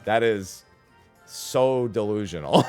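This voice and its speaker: A man's voice: lowish voice, lively intonation, takes it slowly, darkish tone, soft background music. A man giving casual, off-the-cuff commentary while streaming a video game. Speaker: streamer playing through a story game.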